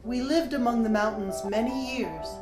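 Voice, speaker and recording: An actress plays a grown woman poet reflectively recalling her childhood, reciting verse with measured, deliberate phrasing in an audio drama. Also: on the high side, natural voice. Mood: solemn, wistful. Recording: roomy, echoing, music underneath. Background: musical instrument playing.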